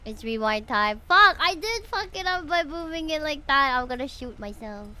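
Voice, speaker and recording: A woman's voice: high-pitched, lively intonation, normal speed, sharp tone. A young woman from the Philippines streaming a game, muttering to herself and swearing as she works through a puzzle. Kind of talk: casual gaming stream commentary.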